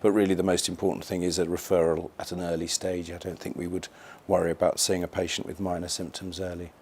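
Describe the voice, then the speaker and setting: A man speaking as a specialist doctor, giving measured explanatory interview answers for an educational film. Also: low voice, flat delivery, a little mellow, husky.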